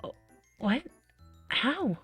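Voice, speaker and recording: A woman playing through a visual novel, reading aloud and reacting in an expressive, exclaiming way. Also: fairly high pitch, drawn-out words, breathy edge, faint music behind. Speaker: gaming streamer.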